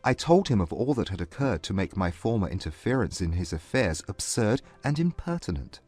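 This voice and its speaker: A man playing a proud but humbled gentleman suitor, earnest and formal, in a dramatized classic romance audiobook. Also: on the low side, very animated, husky, faint music behind. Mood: candid confession. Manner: formal, measured period English.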